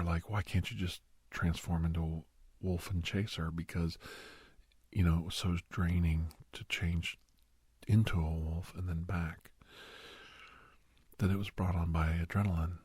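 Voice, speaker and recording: A man playing a middle-aged doctor who reminisces earnestly in a soft, half-whispered ASMR roleplay, breathy and halting, close to the microphone. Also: no echo, full character voice. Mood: earnest, reminiscing. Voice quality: breathy, husky, low. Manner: halting, hushed, dramatic pauses. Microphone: close, dry microphone.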